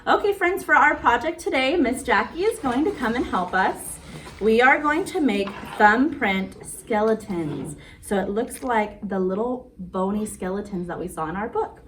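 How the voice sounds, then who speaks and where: A woman's voice: fairly high pitch, a bit bright. Woman reading to small children, noisy distant recording. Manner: sing-song, simple explanations aimed at small children. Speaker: children's storyteller and craft host. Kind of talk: picture-book read-aloud and craft demonstration for young children.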